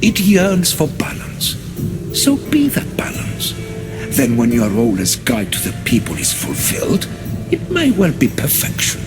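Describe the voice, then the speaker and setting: A man's voice: medium pitch, wildly swooping pitch, a little slow. An actor playing a proud, commanding god-king in a video game, speaking in a formal, authoritative manner. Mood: stately, assured. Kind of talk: dramatic video game acting. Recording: loud music behind the voice.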